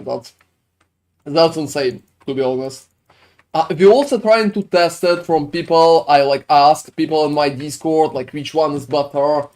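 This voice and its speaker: Streamer with a French-Swedish accent. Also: male voice, a little high, warm tone. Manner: rambling, filler-heavy, chuckles, swears casually. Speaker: game streamer. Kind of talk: casual live-stream chat answering viewers.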